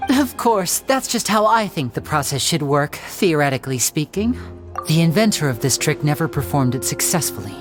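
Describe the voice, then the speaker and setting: An actor voicing a suave, theatrical young stage magician in a video game, explaining a trick in a smooth, measured way. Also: male voice, a little high, big pitch swings. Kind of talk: video game cutscene dialogue. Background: soft background game music.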